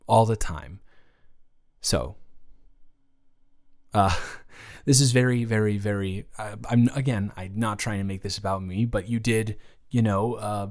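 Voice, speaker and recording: A man's voice: fairly low pitch, a little mellow, close to an everyday voice. Stammering, smitten male roommate played by a man. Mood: flustered, adoring. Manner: stammering, rambling, giddy, halting. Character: nervous, smitten male roommate confessing love. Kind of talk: improvised romantic roleplay audio, intimate one-sided conversation.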